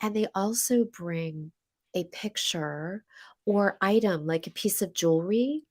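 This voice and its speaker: A woman's voice: mid-range voice, a little slow, darkish tone. An American woman with a stuffy nose from a cold, chatting as an interview guest and telling a long story full of fillers and restarts. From USA. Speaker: podcast guest, coach.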